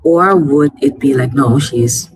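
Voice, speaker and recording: A woman's voice: extremely low pitch, takes it slowly. A woman from Ghana speaking in a played clip, musing in a hesitant way with fillers and rhetorical questions. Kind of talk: casual opinion talk. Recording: noisy clip audio.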